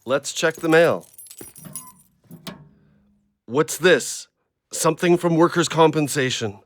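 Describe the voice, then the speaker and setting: A man playing a troubled, gruff injured ex-logger in an audio play, muttering to himself and his dog. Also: a little high, slow. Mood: fond, determined. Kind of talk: audio drama acting.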